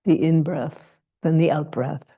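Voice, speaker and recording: Woman, meditation teacher, very slow pace, soft-edged mellow tone, gentle short phrases, long pauses. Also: low voice, fairly even intonation, slightly rough. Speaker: meditation teacher leading a guided meditation. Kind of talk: guided meditation, spoken instruction with long pauses.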